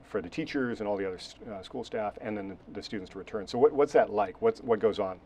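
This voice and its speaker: A man's voice: fairly low pitch, fast talker, mellow, a little hiss or hum. A man with an American accent hosting a conversational interview. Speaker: host, interviewer.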